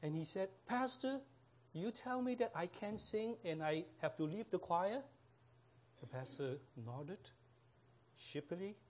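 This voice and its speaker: High-voiced slow-talking pastor from Hong Kong. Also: male voice, animated, a little hiss or hum. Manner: unhurried, laughs at own jokes, frequent fillers. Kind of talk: sermon with humorous stories.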